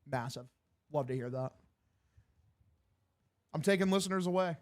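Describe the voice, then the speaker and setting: A young man in his twenties with a distinctly high voice for a male. He streams baseball commentary mixed with casual chat, in loose, slangy language with plenty of swearing and a bro-like way of addressing people. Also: moderate pace. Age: 20s. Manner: profane, slangy, bro-like talk. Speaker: sports streamer calling a baseball game and answering chat.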